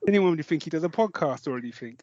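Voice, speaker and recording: A man's voice: a little high, lively intonation, fast talker, darkish tone, no echo, highs cut off. A man chatting casually as a podcast co-host, rambling and jokey in a self-deprecating way.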